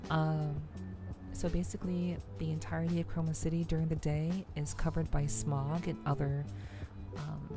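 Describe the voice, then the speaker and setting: Low, creaky-voiced woman streaming over music. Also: level tone, moderate pace. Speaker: streamer, host of a weekly live show. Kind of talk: soft-spoken ASMR game stream chat. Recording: music playing behind the voice. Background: background music.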